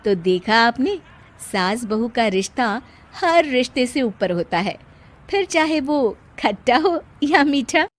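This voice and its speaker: A woman narrating a scripted cartoon moral story as an expressive storyteller. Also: fairly high pitch, slow pace.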